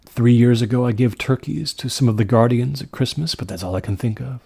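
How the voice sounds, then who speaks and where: A man's voice: mid-range voice, brisk, vocal fry, darkish tone, breathy edge, no room sound. A man voicing an earnest, plain-spoken village stonemason from a horror story, with a folksy, emphatic and serious manner. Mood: chatty, earnest.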